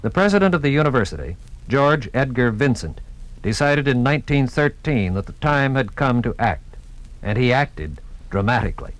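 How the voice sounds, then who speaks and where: A man's voice: mid-range voice, big pitch swings, normal speed, poor audio. An American man narrating a scripted 1950s radio documentary with formal, dramatic announcer delivery. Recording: vintage 1950s radio recording.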